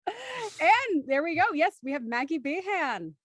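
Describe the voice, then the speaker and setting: A woman's voice: very high-pitched, big pitch swings, a little slow, fairly bright tone, no echo. A woman hosting an online show, chatting and introducing a cast with bubbly enthusiasm. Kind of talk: livestream hosting, chatty banter.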